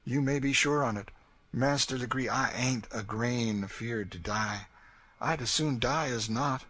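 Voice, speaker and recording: A quiet, devout man of firm faith, as a male reader voices him, calm and earnest even under threat. The reader lifts his pitch a little for the part, speaking steadily and unhurriedly. The tone is bright and clear. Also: slow pace.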